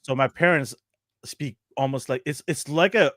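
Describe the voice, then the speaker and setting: A man from Canada co-hosting a casual chat, animated, laughing often and tripping over his words. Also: mid-range voice, moderate pace, dry recording, clean studio sound. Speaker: co-host.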